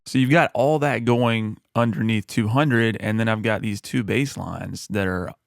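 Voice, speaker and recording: A man with a low voice, talking through a music mixing tutorial in a relaxed, explanatory way with frequent fillers. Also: a little slow, creaky, no echo, clean studio sound. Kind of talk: screen-recorded mixing tutorial.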